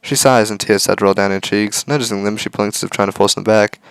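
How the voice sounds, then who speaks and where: Creaky, close-sounding man narrating a story. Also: lowish voice, steady tone, fast talker, no room sound. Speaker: let's-play host reading a visual novel aloud. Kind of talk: dramatic read-aloud narration with casual asides.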